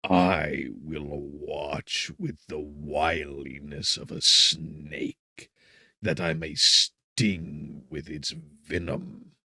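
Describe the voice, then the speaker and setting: A man voicing a vengeful monster who threatens his maker in a deliberate, menacing way in an audiobook. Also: rumbling low, vocal fry, pushed and bright, slightly rough, dry recording, studio quality, heavily acted.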